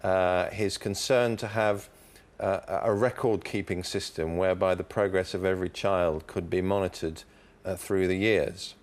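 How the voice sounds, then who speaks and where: A man with a low voice gives his views as a panellist. He is articulate and deliberate, stressing his points as he discusses a book. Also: steady tone. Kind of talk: opinionated literary discussion.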